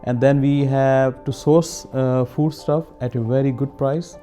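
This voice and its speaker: A man who founded a charity, speaking earnestly in a documentary interview, with short filler pauses. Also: mid-range voice, fairly even intonation, warm tone. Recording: music bed underneath.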